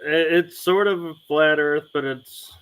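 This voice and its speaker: A man from Chicago, co-hosting a podcast, who asks his questions in a slow, hesitant way. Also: a little high, crowd noise, rough recording. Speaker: podcast co-host. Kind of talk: casual podcast conversation.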